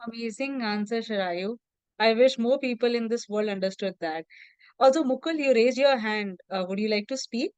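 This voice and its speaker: A woman hosting a video call, warmly praising answers and moving the session along. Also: a little high, moderate pace, clear, resonant tone, no echo. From India. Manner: encouraging, gives praise, loose fillers. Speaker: session host. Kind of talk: casual moderating on a video call.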